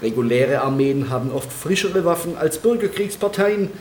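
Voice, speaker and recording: A man's voice: a little high, lively intonation, moderate pace, a little mellow, natural voice. A man playing a detached, pedantic forensic lab expert explaining his specimens in a radio drama. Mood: explanatory. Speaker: supporting actor. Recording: roomy echo, faint background noise.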